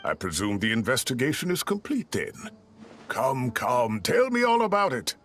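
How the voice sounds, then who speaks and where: A man's voice: medium pitch, wildly swooping pitch, normal speed, a bit bright, a little hiss or hum. An actor playing a fussy, lecturing older male professor in a video game, with a pompous, theatrical manner. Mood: curious, animated. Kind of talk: video game character acting.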